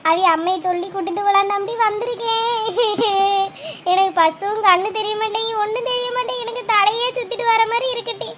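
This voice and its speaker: A woman's voice: very high-pitched, background noise. A woman playing a distraught, helpless older village mother in a drama, lamenting repetitively in a southern Tamil Nadu accent.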